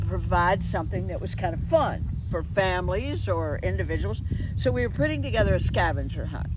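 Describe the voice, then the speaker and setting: Animated woman organizer, outdoors with traffic noise. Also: medium pitch. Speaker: event organizer. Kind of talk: unscripted interview talk promoting a community event. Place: outdoors in a downtown park.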